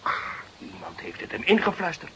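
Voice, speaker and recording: A man's voice: fairly high pitch, wildly swooping pitch, fairly quick, sharp tone, slightly rough, exaggerated voice. A man acting an eager, exasperated young police detective in a radio play, theatrical, sarcastic and emphatic. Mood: frustrated.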